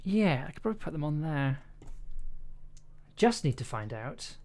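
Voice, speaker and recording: A woman's voice: sits low, big pitch swings, normal speed, darkish tone. A woman chatting casually and thinking aloud on a livestream while editing audio. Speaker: audio drama producer and streamer. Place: indoors at a computer, livestream.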